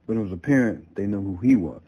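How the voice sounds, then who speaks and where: A man reading his own story aloud as a guest author, in a plain, measured narrative delivery on a slightly muffled recording. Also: on the low side, breathy edge.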